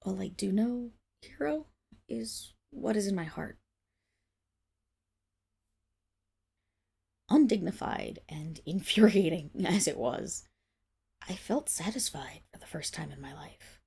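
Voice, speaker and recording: A woman acts out a proud tsundere wolf-girl of noble birth in an intimate ASMR roleplay. Her voice is breathy and husky with a creaky edge, at an ordinary female pitch. She speaks in haughty, formal phrases that trip into stammers and sink toward whispers. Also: animated, measured pace, a little mellow, heavily acted. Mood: yearning. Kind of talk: ASMR roleplay acting, addressed to the listener.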